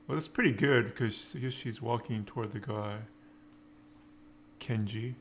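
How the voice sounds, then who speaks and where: A man giving an informal live-coding tutorial, thinking aloud in halting, piecemeal phrases. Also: medium pitch. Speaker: programming tutorial instructor. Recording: noticeable background noise, keyboard typing.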